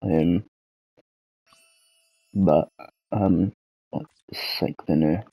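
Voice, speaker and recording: A Scottish man chats through a casual gaming vlog in a deep, gravelly voice, hoarse from a cold. His tone is dark and mellow. He rambles slowly, swears freely and puts himself down. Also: no room sound, low-quality recording. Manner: rambling, sweary, self-deprecating. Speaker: gaming channel host.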